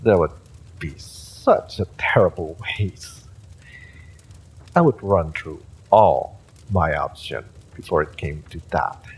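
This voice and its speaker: An actor playing an evil male sorcerer, smugly polite and sadistic, in a slow, theatrical audio drama full of menace. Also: deep voice, animated, breathy edge, exaggerated voice, low-quality recording. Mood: taunting menace. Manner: slow, deliberate, theatrical threatening delivery. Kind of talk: dramatic audio roleplay monologue.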